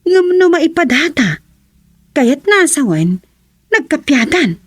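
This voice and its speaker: A woman's voice: sits high, sing-song, slow pace, no echo, heavily acted. An actress playing a concerned young woman in an Ilocano family radio drama, earnest and questioning, with an Ilocano accent. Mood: worried.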